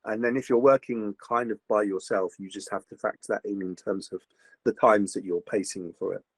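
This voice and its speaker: A man's voice: lowish voice, fairly even intonation, brisk, breathy edge, no echo. Older man, rambling and dry. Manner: rambling, many "you know" fillers and restarts. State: slightly gruff after a recent virus. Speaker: voice actor guest. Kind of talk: casual interview chat.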